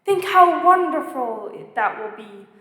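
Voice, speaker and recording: Woman reading as a lonely storybook fox, high and lilting. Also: animated, takes it slowly, full character voice. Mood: hopeful, yearning. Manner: earnest, gently dramatic storybook delivery. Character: lonely wistful fox longing to be tamed. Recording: echoey hall with long reverb.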